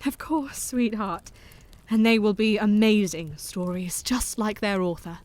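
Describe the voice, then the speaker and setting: A woman playing a genteel Victorian mother, soft-spoken and tender, in an audio drama. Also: mid-range voice, a little slow, natural voice.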